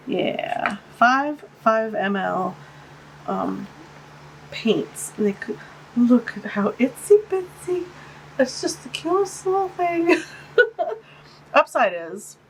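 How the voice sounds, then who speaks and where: A woman's voice: a little high, a little hiss or hum, poor audio. A woman hosting a casual art-supply unboxing vlog, chatty and gushing, with a stuffy, congested voice. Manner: gushing, chatty, cooing over tiny things. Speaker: art channel host. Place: home art studio, tabletop overhead shot. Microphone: camera-mounted shotgun mic.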